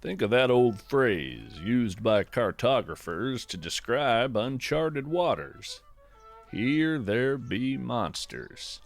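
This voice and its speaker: A man hosting a podcast with scripted, reflective nature narration, contemplative and deliberate with a gently wry touch. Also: medium pitch, big pitch swings, soft background music.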